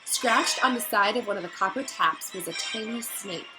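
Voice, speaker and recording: A woman narrating a novel aloud in an expressive, dramatic storytelling style that builds suspense. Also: mid-range voice, normal speed, over music, low-quality recording.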